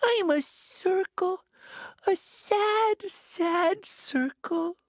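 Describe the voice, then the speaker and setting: A woman voicing a small, sad, weepy cartoon circle with a mopey, drawn-out whine. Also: extremely high pitch, a little hiss or hum, heavily acted. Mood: glum. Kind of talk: children's cartoon acting.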